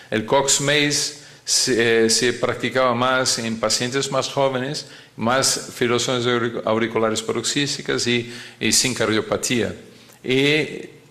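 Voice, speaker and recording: A man giving a medical lecture in a language that is not his first, halting often and restarting as he searches for words. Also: mid-range voice, a bit bright. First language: not Spanish.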